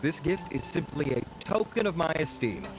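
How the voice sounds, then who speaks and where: A man's voice: a little high, animated, moderate pace, natural voice, low-quality recording. A man playing a courteous, vain beauty researcher in an anime game, speaking in a polite, self-assured, formal way. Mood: gracious.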